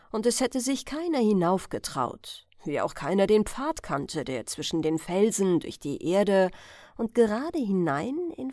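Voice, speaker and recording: A woman narrating a fairy tale as a bedtime audiobook, in a measured storytelling manner. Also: medium pitch, a little mellow, no room sound, studio quality.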